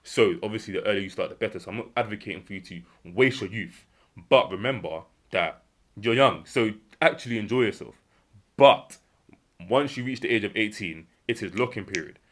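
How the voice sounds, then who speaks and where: Fast-talking young British man, lowish voice. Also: fairly bright tone. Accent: British English. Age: young adult. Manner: earnest, emphatic, repeats key phrases; acts out imagined dialogue in a lower voice. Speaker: self-improvement vlogger. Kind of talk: unscripted motivational monologue.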